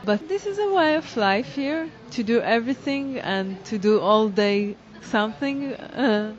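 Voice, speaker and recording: A woman, a dance teacher and choreographer, answering interview questions in halting English as she searches for words. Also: fairly high pitch, expressive, highs cut off. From Israel.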